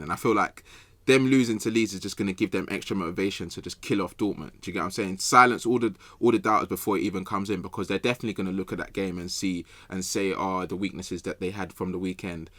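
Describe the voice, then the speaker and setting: A deep male voice, recorded close and dry. He talks like a podcast pundit among friends, colloquial and slangy, doubling back on phrases to press the point. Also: brisk, a bit bright, no room sound. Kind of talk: casual sports chat.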